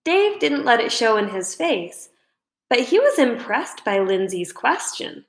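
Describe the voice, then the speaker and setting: A woman reading a children's book aloud as a storyteller, in expressive scripted narration. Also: on the high side, measured pace. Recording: roomy indoor echo.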